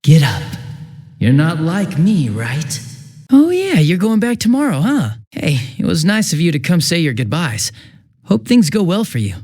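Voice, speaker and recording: An actor playing a smug, two-faced young police detective in a video game, casual and sarcastic with a taunting, cruel edge. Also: male voice, a little high, wildly swooping pitch, normal speed, darkish tone, breathy edge, exaggerated voice. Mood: bitter, mocking, then breezy, busy. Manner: casual, sarcastic, taunting, shifting from breezy to menacing. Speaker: police officer.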